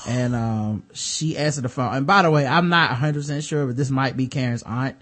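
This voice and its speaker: A man's voice: mid-range voice, brisk, a bit bright. A man with a light voice hosting a casual podcast, reading news stories aloud and breaking off for wry, sarcastic comments. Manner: wry, sarcastic asides between read-out passages. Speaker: podcast host.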